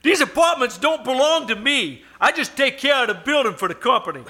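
A man's voice: very high voice, animated, fairly quick, sharp tone, heavily acted. A man playing a defensive, reluctant working-class building caretaker in a live old-time radio suspense play, colloquial and protesting. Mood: exasperated.

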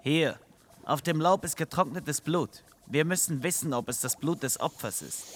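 Man playing a bright-voiced, sensible police detective. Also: fairly high pitch, normal speed. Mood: businesslike. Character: sensible, matter-of-fact male police detective, the straight partner. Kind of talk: comedic audio drama acting.

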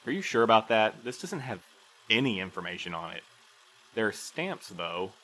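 A man's voice: lowish voice, sing-song, normal speed, a bit bright, a little hiss or hum, poor audio. An actor playing a fussy, rule-bound male postal supervisor in an audio drama, speaking in formal, precise phrases with a fretful air. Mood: puzzled, proper. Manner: formal, prim, precise wording. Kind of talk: full-cast audio drama dialogue.

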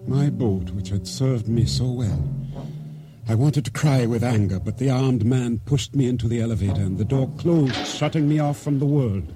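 A man acting a regretful island boatman in a radio drama, narrating and speaking in a solemn, measured way. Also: mid-range voice, over music, natural voice. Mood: solemn, despairing. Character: regretful male island boatman recounting his downfall. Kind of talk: first-person narration and dialogue in radio drama.

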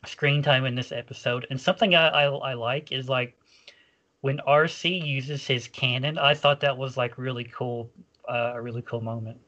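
A man's voice: medium pitch, fairly even intonation, normal speed, pushed and bright, muffled recording. A man co-hosting a casual fan podcast. He rambles, packs in "like" fillers and often restarts his sentences. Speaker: podcast co-host.

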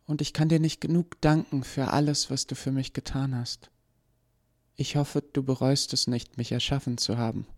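A man reading an audiobook, voicing a gentle young woman who was made by someone, speaking softly and pleadingly. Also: medium pitch, fairly even intonation, slow pace, long pauses, voice pushed up. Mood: sad farewell.

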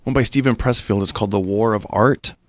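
A man who works as an actor, chatting as a guest and often halting and repeating his words. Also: fairly low pitch, no echo, studio quality. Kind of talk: casual chat.

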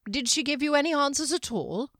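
A woman's voice: sits high, animated, dry recording, studio quality, pitched above the performer's own voice. A woman voicing a smug, menacing wizard. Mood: cold. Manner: slow, smug, quietly menacing. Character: sly, mocking male wizard, calm and menacing.

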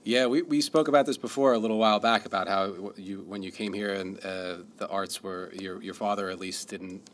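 A man's voice: low voice, fairly even intonation, a little fast. A man hosting a radio interview, reading a prepared intro and then asking casual questions. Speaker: host, interviewer.

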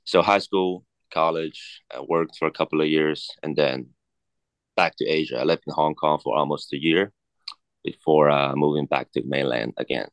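A man in his thirties from China, a native Cantonese speaker, talking haltingly as an interview guest with many fillers and restarts. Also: rumbling low, steady tone, no echo. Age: 30s. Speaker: guest, watch collector. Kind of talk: casual interview chat.

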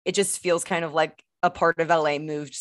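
A woman's voice: low voice, fairly even intonation, normal speed, no echo. A woman from Texas, a guest comedian in casual podcast chat, talking chattily and laughing often.

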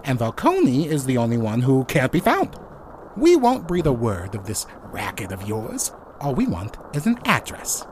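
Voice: mid-range voice, huge pitch swings, normal speed, darkish tone, a little hiss or hum. An actor playing an earnest rookie detective who talks formally and precisely in a comedic noir audio play. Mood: measured, solemn.

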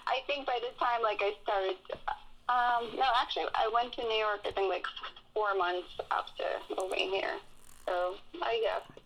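A woman from Russia with a Russian accent, a guest telling animated stories with laughs in a casual interview. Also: medium pitch, moderate pace, low-quality recording. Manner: animated storytelling with frequent laughs.

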